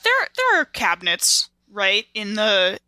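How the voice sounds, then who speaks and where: Female tabletop gamer: sharp bright tone, middle pitch, loose improvised chat, dry close recording. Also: huge pitch swings, a little slow, no echo. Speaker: player in a tabletop role-playing podcast. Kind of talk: casual improvised game chat.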